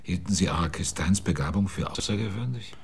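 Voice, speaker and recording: A probing private detective in a radio play. He has a husky, lowish voice and asks short, terse questions. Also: a little fast, heavily acted. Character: probing male private investigator. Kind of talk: radio drama acting.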